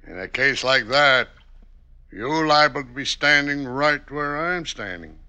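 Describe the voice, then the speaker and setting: An actor playing a vain, scheming, folksy state governor in an old-time radio drama. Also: male voice, medium pitch, expressive, measured pace, sharp tone, clear, resonant tone. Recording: noisy vintage radio recording.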